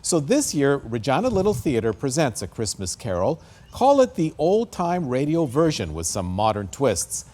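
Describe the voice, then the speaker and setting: A man's voice: mid-range voice, wildly swooping pitch, moderate pace, few pauses, dark tone. A man hosting an arts feature, moving between scripted narration and interview questions. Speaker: interview host.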